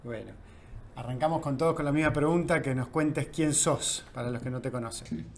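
A man's voice: mid-range voice, a little fast, gravelly, a little hiss or hum. A man in his forties with an Argentine accent, hosting a video call interview in a casual, hesitant way. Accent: Argentine Spanish. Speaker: podcast host. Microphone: video call with earbuds.

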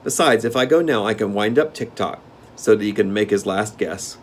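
A man's voice: lowish voice, normal speed, a little hiss or hum, natural voice. A man voicing an earnest, plucky little farm girl in a plain-spoken storybook manner. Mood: pleading.

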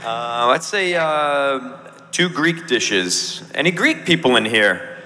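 Male panelist, bright middle register, casual talk, hall reverb. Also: mid-range voice, animated, takes it slowly, some chatter behind. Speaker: panelist, video game voice actor. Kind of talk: casual convention panel chat. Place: on stage in a large hall at a convention. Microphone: handheld microphone. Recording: echoey hall PA.

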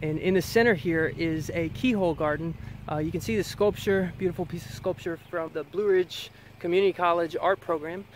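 American male vlogger, thirties, slightly higher pitch, casual explanatory tour delivery, outdoor handheld sound with faint ambient noise. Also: fairly even intonation, fairly quick. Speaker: farm vlogger giving a tour. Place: outdoors on a farm. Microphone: camera at arm's length.

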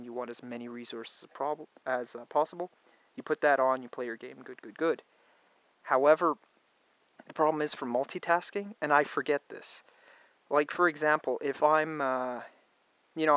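A man's voice: medium pitch, fairly bright tone, dry recording, poor audio. Chatty congested man reviewing a phone. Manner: chatty, thinks aloud, frequent restarts. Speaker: tech reviewer. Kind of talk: casual hands-on phone review.

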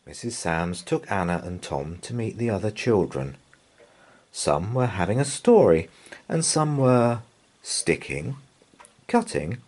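Slow, sing-song man reading a storybook. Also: on the low side, a little mellow. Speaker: storybook reader. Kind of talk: children's picture book read aloud.

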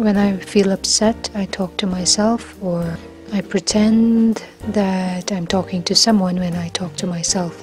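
Woman in her 30s, slow unhurried delivery, soft near-whispers, faint music under it. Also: medium pitch. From Philippines. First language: Filipino. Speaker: language-learning vlogger. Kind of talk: slow reflective monologue.

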